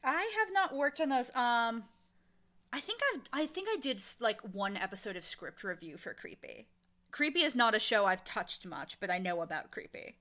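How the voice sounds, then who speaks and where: A woman being interviewed, talking in a casual, informal and animated way about her work. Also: a little high, moderate pace. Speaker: interviewee, audio drama showrunner and voice actor.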